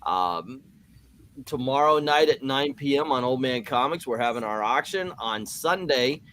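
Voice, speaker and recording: A man's voice: mid-range voice, animated, unhurried, a bit bright, a little hiss or hum. A man speaking casually as host of a comic-book show, with a joking manner. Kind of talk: casual group chat.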